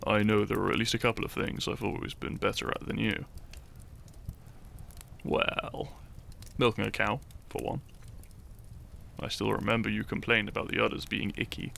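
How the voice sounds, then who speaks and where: Man playing a slow, gravelly, bashful fantasy hero. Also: on the low side, a little hiss or hum, heavily acted. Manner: soft, hesitant, stammering, breathy sighs and chuckles. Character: shy young male fantasy hero, teasing, lovestruck, easily flustered. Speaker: audio roleplay voice actor. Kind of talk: intimate scripted romantic audio roleplay.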